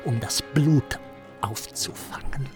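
A man playing a lurid storyteller in a German radio play, theatrical and insinuating, at times sinking to a breathy murmur. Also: mid-range voice, slow pace, music in the background, close to an everyday voice. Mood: lurid, conspiratorial. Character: Herr Hund, a man spinning lurid hateful tales with relish.

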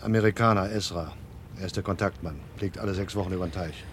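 Weary forger male actor: resigned, matter-of-fact, lower register, vintage radio drama over faint noise. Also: fast talker, close to an everyday voice. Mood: resigned, terse. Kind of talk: German crime radio play acting.

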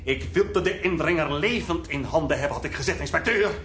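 Excitable superior, explosive, emphatic, raised pitch, crime radio play, noticeable background noise. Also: male voice, fairly quick, roomy sound. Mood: furious. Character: male superior, hot-tempered, scornful, excitable. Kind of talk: crime radio drama acting. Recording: clearly noisy archived radio drama.

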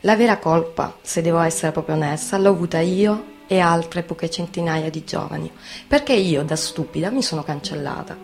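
A frank young steelworker speaks in a fairly low voice. She gives colloquial, insistent testimony in a radio theatre piece, over music. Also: normal speed, darkish tone. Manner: plain-spoken, colloquial, insistent.

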